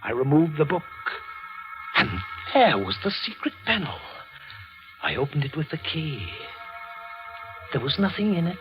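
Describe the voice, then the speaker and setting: Obsessed morbid young son, high voice, swings all over, theatrical old radio horror, muffled w music. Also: male voice, sing-song, measured pace, pauses often. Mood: haunted, hushed. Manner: theatrical, accusing, fervent. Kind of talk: 1940s radio acting.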